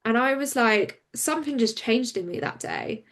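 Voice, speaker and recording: A woman, a campaigner and interview guest, speaking frankly and openly in a relaxed conversation. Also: fairly high pitch. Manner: open and frank, quick and animated when recounting.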